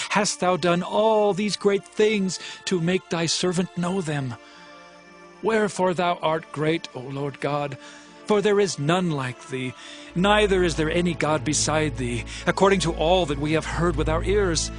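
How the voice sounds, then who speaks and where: Guy playing a devout bible king praying, high voice, humble n impassioned, soft music behind. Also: natural voice. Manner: reverent, impassioned. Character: humble, fervent king at prayer, devout and awed. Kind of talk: dramatized audio Bible acting. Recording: faint music bed behind voice.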